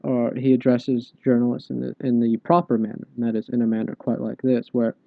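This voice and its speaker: A man co-hosting a podcast with casual political commentary in measured, slightly formal phrasing. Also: mid-range voice, little pitch movement, gravelly, no echo, narrow, tinny audio. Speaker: podcast co-host.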